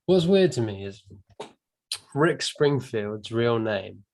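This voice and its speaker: Rambling comedian on a webcam. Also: male voice, mid-range voice, lively intonation, takes it slowly, a little mellow, slight background noise. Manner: rambling, swears freely, trails off with ums. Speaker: comedian guest. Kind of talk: casual chat.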